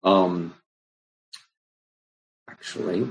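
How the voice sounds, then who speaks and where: Man pastor, husky voice, loose conversational Bible teaching, lots of ums. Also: low-pitched, steady tone, extremely slow, dull audio. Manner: conversational, many ums and restarts. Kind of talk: informal Bible study answering questions.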